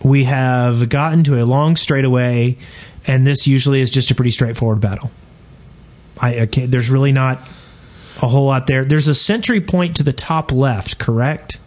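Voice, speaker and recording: Male host, average-pitched voice, relaxed conversational talk about game maps and tactics. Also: normal speed. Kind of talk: casual game discussion.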